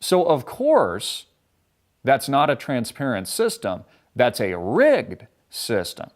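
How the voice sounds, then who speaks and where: Man, sarcastic profane rant, lively swinging pitch, mid-range voice. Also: takes it slowly. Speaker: political commentary host. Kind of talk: ranting political commentary.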